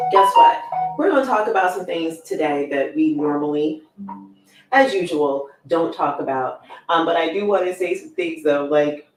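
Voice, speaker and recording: A woman's voice: low-pitched, far from the mic. A woman hosting a podcast as a conflict coach, chatting casually, with a strained voice that keeps giving out. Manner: chatty, rambling, with many fillers. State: losing her voice. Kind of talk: casual livestream podcast chat. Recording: echoey room, voice off-mic.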